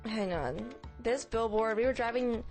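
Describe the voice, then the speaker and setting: A woman's voice: on the high side, measured pace, darkish tone, soft background music. A woman co-hosting a podcast in casual, comedic conversation, with a wry, blunt way of talking. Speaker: podcast co-host.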